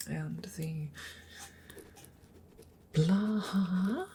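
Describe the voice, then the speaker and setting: A woman voicing a courteous, smitten female dragon in a hesitant, formal ASMR roleplay, whispering softly and breathily close to the microphone. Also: on the low side, vocal fry, a little hiss or hum, exaggerated voice. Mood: pleased, eager. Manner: hesitant, formal, old-fashioned phrasing with murmured repetitions.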